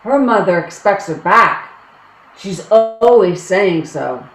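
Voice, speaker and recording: A woman playing an anxious, protective Irish village woman, pleading deliberately in a stage play reading. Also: medium pitch, expressive, over music. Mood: disapproving, pleading. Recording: roomy, echoing stage-reading sound.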